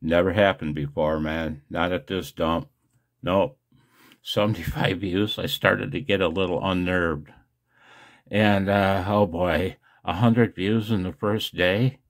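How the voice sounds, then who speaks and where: A man's voice: sits low, fairly even intonation, slow pace. A man talking casually and self-deprecatingly to his viewers in a rambling monologue, as host of a video channel. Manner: self-deprecating, chuckles often.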